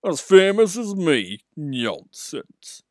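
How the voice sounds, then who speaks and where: Man voicing a haughty boastful engine, slow grumbling drawl, dry close recording. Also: fairly high pitch, very animated, no echo, pitched above the performer's own voice. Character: pompous boastful big male express engine.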